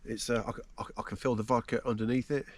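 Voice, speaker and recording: A man's voice: medium pitch, flat delivery, very fast, a little hiss or hum. An English man with a blocked nose from hay fever, co-hosting a camping vlog outdoors and searching hesitantly for words. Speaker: vlog co-host. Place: outdoors in woods at a campsite.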